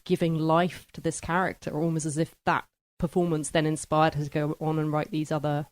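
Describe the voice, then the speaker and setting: A woman's voice: deep voice, little pitch movement, fairly quick, no echo. A woman hosting a conversational book podcast, inquisitive and drawing her guests out. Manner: inquisitive, draws guests out with questions. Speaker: podcast host.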